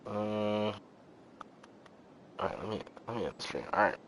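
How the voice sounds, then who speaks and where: A man's voice: on the low side, moderate pace, a bit bright, slight background noise. A man chatting casually as a live streamer, rambling and swearing in loose slang. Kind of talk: casual gaming livestream chat. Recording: game music behind the voice. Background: video game music.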